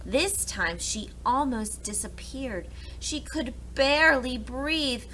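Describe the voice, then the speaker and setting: A woman narrating a children's story aloud for preschoolers, with dramatic and deliberate phrasing. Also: high voice, expressive, bright, forceful tone, slight background noise, rough recording.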